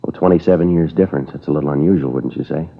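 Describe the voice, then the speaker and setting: An actor playing a stern, dogged middle-aged police sergeant, clipped and deadpan, in a 1950s radio crime drama. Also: male voice, extremely low pitch, rapid-fire, gravelly, full character voice. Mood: questioning. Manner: clipped, deadpan, matter-of-fact. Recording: muffled old 1950s radio recording.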